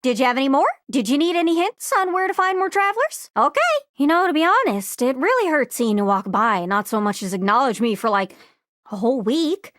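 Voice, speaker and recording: A very high, swooping young voice for a video game globe come to life. She is excitable and sweet, chatting and gushing in a goofy, self-deprecating way. The sound is close and dry. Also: fairly quick, no echo. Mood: wistful, sweet. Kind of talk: video game character voice acting.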